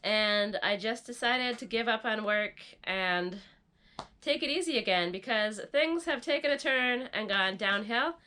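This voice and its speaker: A woman's voice: a little high, expressive, unhurried, bright, forceful tone, a little hiss or hum. Congested woman vlogging casually. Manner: chatty, easygoing, thinking aloud. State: stuffed up, congested nose. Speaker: vlogger renovating an off-grid barn. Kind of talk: casual vlog talk to camera. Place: indoors in a rustic stone barn.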